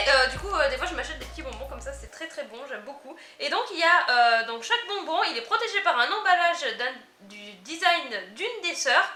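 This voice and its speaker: A woman chatting through an unboxing vlog, easily delighted and quick to giggle. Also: high voice, pushed and bright, roomy sound. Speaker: unboxing vlogger. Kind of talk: casual product unboxing chat.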